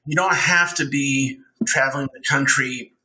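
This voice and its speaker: A podcast host with a very bright, sharp-edged voice puts questions to his guest. He builds each question slowly and with care before he asks it. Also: medium pitch, low-quality recording. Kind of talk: interview questions.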